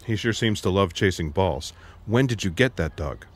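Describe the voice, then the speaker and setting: A man's voice: low-pitched, lively intonation, moderate pace, slight background noise. An actor playing an earnest, literal-minded alien man with formal, precise diction in an audio drama. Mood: matter-of-fact. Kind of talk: scripted audio drama acting.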